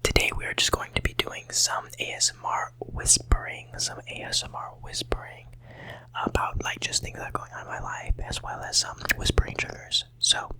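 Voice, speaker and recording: A teenager in their late teens whispering ASMR, a little sick and hoarse, rambling casually and softly repeating words at home into a close microphone. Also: low-quality recording. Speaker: ASMR creator.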